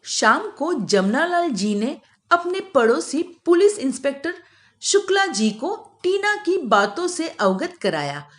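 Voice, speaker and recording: A woman storyteller with a notably high voice narrates a scripted moral tale for children. Her pace is unhurried and her intonation is very lively.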